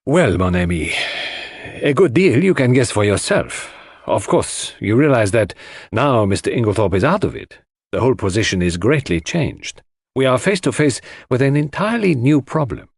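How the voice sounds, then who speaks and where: A man voicing a fussy, excitable, vain foreign detective who speaks emphatically in an audiobook mystery. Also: mid-range voice, normal speed, slightly rough, no room sound, clean studio sound. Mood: explanatory. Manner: emphatic, repeats words, French phrases sprinkled in.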